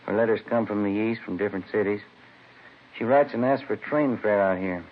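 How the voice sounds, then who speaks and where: An actor playing a courteous, matter-of-fact police detective sidekick in a vintage radio crime drama. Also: male voice, brisk, natural voice. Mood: factual. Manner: matter-of-fact, polite, low-key. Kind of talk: 1940s-50s radio crime drama acting. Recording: thin, phone-like vintage radio recording, faint hiss.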